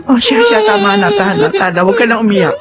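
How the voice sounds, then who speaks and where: High-pitched, scolding Filipino parent. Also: male voice, huge pitch swings, fast, creaky, full character voice. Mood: scolding, resigned. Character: blunt, scolding parent of a jilted daughter. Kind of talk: television drama dialogue. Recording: music playing under the voice.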